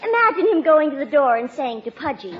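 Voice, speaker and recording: A woman's voice: sits high, very animated, brisk. A woman playing a dramatic, gushing teenage American daughter in a 1950s radio comedy. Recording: muffled old radio recording.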